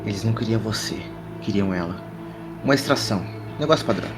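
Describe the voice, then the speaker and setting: A man's voice: lowish voice, lively intonation, quick pace, over music, close to an everyday voice. A man with a Brazilian accent playing a streetwise, cynical media reporter in a cyberpunk audio drama, blunt and slangy.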